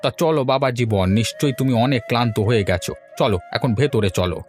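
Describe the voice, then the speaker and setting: A man's voice: mid-range voice, lively intonation, quick pace, close to an everyday voice. A man voicing a fussy, chiding village father in a melodramatic cartoon, speaking in exclamations. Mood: welcoming, fussy. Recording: music behind the voice.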